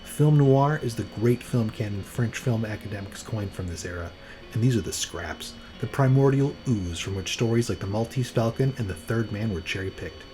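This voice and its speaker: A man's voice: lowish voice, expressive, moderate pace. A man hosting a podcast with scripted, playful narration in a wry, tongue-in-cheek manner. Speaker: podcast host. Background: music.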